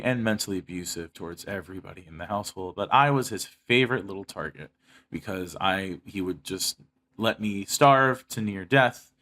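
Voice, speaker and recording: A young man with an American accent and a fairly low voice talks straight to his online audience. He speaks in a blunt, confessional way, leaning hard on his grievances and swearing freely. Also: a bit bright. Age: young adult. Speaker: YouTuber. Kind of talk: candid personal monologue to viewers.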